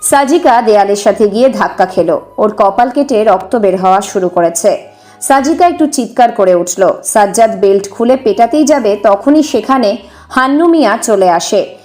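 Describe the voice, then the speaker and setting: A woman's voice: medium pitch, expressive, moderate pace, darkish tone, soft background music. A woman giving scripted narration for a dramatised audio story.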